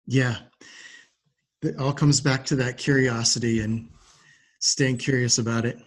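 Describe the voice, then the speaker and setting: A man's voice: mid-range voice, steady tone, unhurried. A man hosting a conversational interview podcast in a supportive, affirming manner. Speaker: podcast host.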